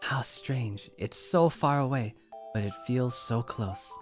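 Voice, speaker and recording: A man voicing a lonely young woman who is raising little star creatures; she speaks softly and turns tearful when upset. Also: mid-range voice, takes it slowly, lightly acted. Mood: wistful. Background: background music.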